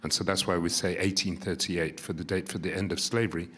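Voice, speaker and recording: Low-pitched male panelist, informal history talk. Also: fairly even intonation, brisk, fairly bright tone, breathy edge.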